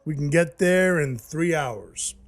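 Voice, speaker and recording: A man playing a gruff, soft-hearted dwarf who works as Santa's helper, narrating his own story in an audio play in a wry, hard-boiled way. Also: fairly high pitch, expressive, slow. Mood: steady, resolved. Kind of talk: first-person audio play narration and dialogue. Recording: music under the voice. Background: music.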